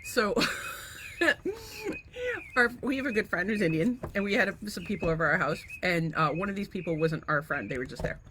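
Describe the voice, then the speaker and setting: Woman podcast co-host, bright and animated, rambling comic stories with faint room noise behind. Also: medium pitch, normal speed. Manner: animated storyteller, laughs often, swears freely. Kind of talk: casual chat.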